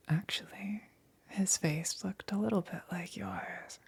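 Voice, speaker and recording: Woman acting a slow, breathy whispering demon queen. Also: mid-range voice, full character voice. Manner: slow, taunting, drawn-out condescending delivery. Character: sadistic, teasing demon queen toying with cornered prey. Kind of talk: ASMR roleplay, whispered.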